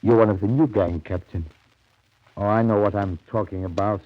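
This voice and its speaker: A man's voice: fairly low pitch, steady tone, normal speed, natural voice. An actor playing a suspicious, knowing company man at a colonial outpost in a 1930s radio drama. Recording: dull 1930s radio recording.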